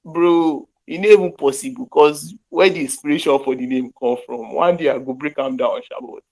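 A man's voice: on the high side, moderate pace, a little mellow, low-quality recording. A young man from Nigeria with a Nigerian accent, hosting a podcast over an internet call and chatting casually in pidgin and English with Yoruba phrases, joking as he goes. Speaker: podcast host.

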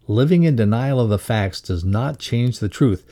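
A man reading scripted educational narration in a measured, instructive way. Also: mid-range voice, animated, warm tone. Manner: measured, instructive read-aloud delivery. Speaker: reader of a values lesson.

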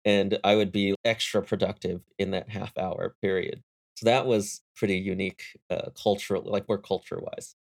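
Low gravelly-voiced man telling stories. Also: moderate pace. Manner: relaxed storyteller, chuckles often, frequent restarts. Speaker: guest, engineer and startup founder. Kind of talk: casual interview conversation.

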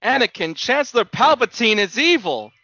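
A woman's voice: on the high side, very animated, normal speed, pushed and bright, dry recording, highs cut off, full character voice. A woman playing a stern, grieving male warrior mentor in an amateur drama, solemn and theatrical. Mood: urgent.

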